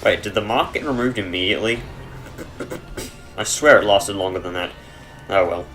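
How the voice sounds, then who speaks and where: A man giving casual, rambling commentary over a dungeon game on a headset mic, chesty and clearing his throat, with dry humor. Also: on the low side, moderate pace, a bit bright, soft background music. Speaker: let's play gamer.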